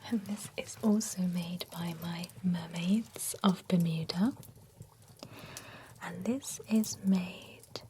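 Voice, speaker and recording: A woman's voice: lowish voice, steady tone, takes it slowly, warm tone, slight background noise. A woman whispering in a chatty, playful way as a pampering spa stylist in an ASMR roleplay. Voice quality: mostly unvoiced whisper.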